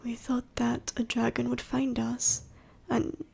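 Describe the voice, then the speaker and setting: Woman voicing a breathy, whispering, dying female dragon. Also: fairly high pitch, completely flat delivery, a little mellow, full character voice. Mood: somber, reminiscing. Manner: halting, slow, solemn storytelling. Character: dying female ender dragon, ancient, sorrowful, gentle. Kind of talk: ASMR audio roleplay monologue to the listener.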